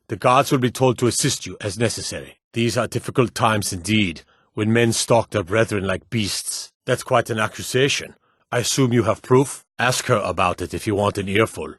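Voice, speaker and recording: An actor playing a weary, gruff fantasy city guardsman in a video game, speaking in a serious, measured way. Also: lowish voice, no echo, clean studio sound. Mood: solemn, grateful, then exasperated. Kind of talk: video game character dialogue.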